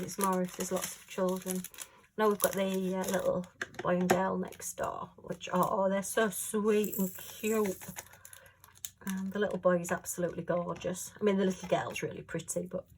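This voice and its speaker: A woman chatting casually at home while she works on a craft, rambling and homely with lots of fillers, her voice a little hoarse. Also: lowish voice, noisy background. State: slightly hoarse. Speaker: crafting vlogger.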